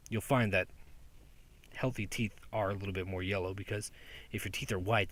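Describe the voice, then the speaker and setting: A man's voice: lowish voice, fairly bright tone, no echo. A young man rambling sarcastically and profanely in a casual outdoor monologue as a podcast host. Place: outdoors in daylight.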